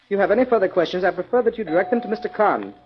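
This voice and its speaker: A man's voice: high-pitched, racing, slight background noise, lightly acted. An actor playing a nervous, prim male department store manager in a 1940s radio drama, stiff, formal and defensive. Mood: defensive, indignant. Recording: muffled 1940s radio recording.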